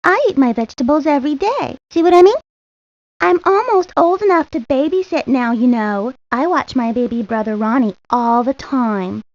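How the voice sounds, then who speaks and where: A woman's voice: high-pitched, expressive, moderate pace, darkish tone, no echo. A woman voicing a bossy, motherly young girl in a video game, confident, prim and self-important. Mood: self-assured. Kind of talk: video game character monologue. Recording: dry, lo-fi 1990s game audio.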